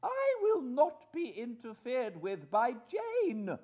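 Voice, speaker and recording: A man's voice: very high-pitched, wildly swooping pitch, takes it slowly, darkish tone, voice pushed up, full character voice. A man voicing a haughty, easily affronted society mother in an audiobook, with an imperious, petulant and exclamatory delivery. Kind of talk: audiobook character voice.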